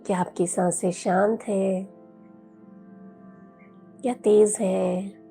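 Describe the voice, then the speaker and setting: A woman leading a guided meditation as a yoga teacher, speaking in a soothing, gentle, unhurried way with long pauses. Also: medium pitch, steady tone, gravelly, soft background music.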